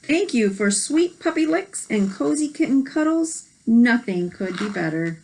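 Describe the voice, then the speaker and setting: Casting: woman as read-aloud co-host, fairly high and very melodic voice, expressive storybook delivery. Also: unhurried, slight background noise, poor audio. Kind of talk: reading a picture book aloud with short reactions.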